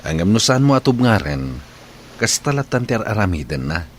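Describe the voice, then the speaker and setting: An actor playing a firm, opinionated older man in an Ilocano radio drama, frank and deliberate in his advice. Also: fairly low pitch, very animated, slight background noise. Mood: concerned, firm.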